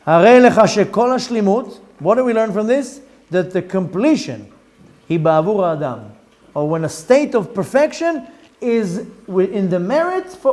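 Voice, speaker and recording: A middle-aged man with an Israeli accent teaching a Torah lesson, reading Hebrew text quickly and explaining it in English with lively rhetorical questions. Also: extremely high pitch. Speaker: rabbi teaching Zohar.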